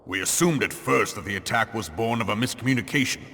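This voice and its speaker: An actor playing a stern, blunt military commander in a fantasy video game, speaking gravely in formal, archaic phrasing. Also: male voice, lowish voice, fast talker, bright, forceful tone, a little hiss or hum. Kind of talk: scripted video game drama.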